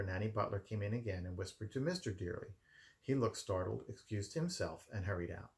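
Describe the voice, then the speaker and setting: Low, creaky-voiced man reading a storybook. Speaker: amateur storybook reader. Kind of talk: scripted storybook narration. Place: his room at home.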